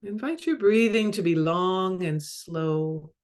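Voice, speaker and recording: A woman leading a guided meditation as a mindfulness coach, speaking calmly and measuredly in short phrases with long pauses. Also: fairly low pitch. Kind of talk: guided body scan meditation.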